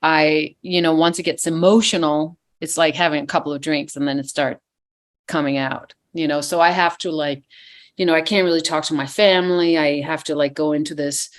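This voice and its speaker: Danish woman, lower voice, chatty guest who hesitates and fills pauses. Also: steady tone. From Denmark. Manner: hesitant, many fillers. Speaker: actress guest. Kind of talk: casual interview chat.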